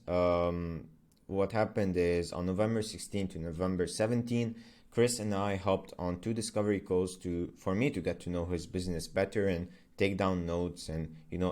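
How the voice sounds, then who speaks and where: Low-voiced young man, Arabic native speaker. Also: darkish tone. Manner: loose and conversational, many fillers and self-corrections. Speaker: agency founder vlogger. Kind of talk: casual explainer vlog.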